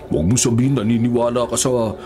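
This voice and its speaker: An actor playing a surly, hot-tempered prison thug in a radio drama, menacing and scornful, with a Filipino accent. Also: male voice, medium pitch, fairly even intonation, moderate pace, dark tone, natural voice. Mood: irritated, scornful.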